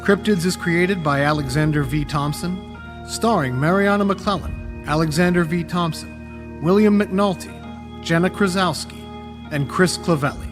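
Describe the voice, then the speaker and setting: Over light music, a man with a slightly high voice serves as announcer. He reads scripted podcast credits. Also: measured pace.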